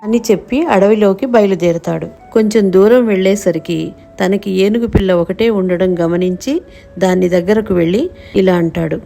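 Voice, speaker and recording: A woman narrates a children's tale from a script. Her voice is medium in pitch and dark, soft-edged in tone, with music playing behind. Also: moderate pace, gravelly.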